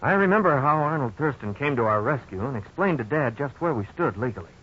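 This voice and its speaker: An actor playing an earnest man recalling his youth in folksy, expressive narration for a 1950s radio drama. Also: medium pitch, quick pace, husky, soft background music. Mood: reflective, explanatory. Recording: dull, noisy old radio recording.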